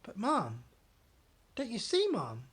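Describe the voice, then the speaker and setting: A woman's voice: fairly high pitch, huge pitch swings, takes it slowly, slightly rough, voice pushed up, exaggerated voice. A woman voicing a fussy, loyal middle-aged maid who talks eagerly and deferentially while reading a storybook aloud. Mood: anxious.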